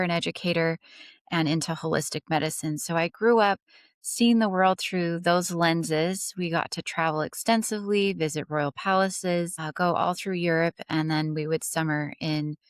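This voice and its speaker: Woman, 40s, interview guest, low voice, dry sound, earnest and fluent. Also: no room sound. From Dubai. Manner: earnest, fluent storytelling with occasional restarts. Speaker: podcast guest. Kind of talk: conversational interview answers.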